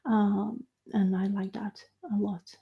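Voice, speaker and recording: A young adult woman whose first language is Lithuanian, chatting casually on a livestream, halting and full of fillers and restarts. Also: mid-range voice, flat delivery, slightly rough, dull audio. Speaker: livestream host. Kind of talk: casual live chat about comics.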